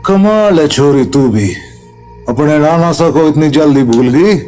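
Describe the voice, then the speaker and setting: A man's voice: on the high side, expressive, a little slow, warm tone, faint music behind. A man playing a sly, fearless village man with a taunting manner, in a horror audio drama. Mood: teasing.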